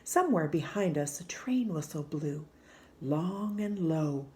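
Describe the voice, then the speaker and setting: Slow, mellow woman storyteller. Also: low-pitched. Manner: slow, hushed, expressive storytelling. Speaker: storyteller reading a picture book aloud to children. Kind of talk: gentle scripted read-aloud narration.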